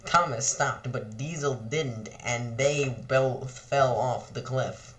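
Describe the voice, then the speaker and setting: A man narrates a story in an amateur dramatic reading. His pitch sits in a middle range and he moves through the text at a slow pace. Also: fairly bright tone, echoey room. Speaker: narrator and reader of fan fiction.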